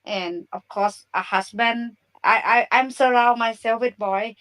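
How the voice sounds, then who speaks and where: A woman's voice: on the high side, a little slow, fairly bright tone, rough recording. A woman from Thailand with a Thai accent, hosting a casual live audio chat in chatty, broken English. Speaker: live stream host. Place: Bay Area.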